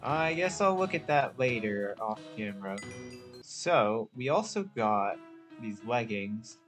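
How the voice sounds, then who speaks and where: A man's voice: mid-range voice, sing-song, slow pace, a bit bright. A man giving casual playthrough commentary on a game, laid-back and thinking aloud. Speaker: gamer, video host. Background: game music.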